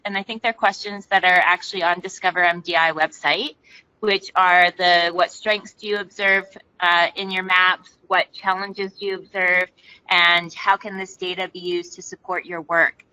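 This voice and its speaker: A woman who works with a school district, answering interview questions in a measured, explanatory way. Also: medium pitch, pushed and bright, rough recording. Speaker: school district staff guest.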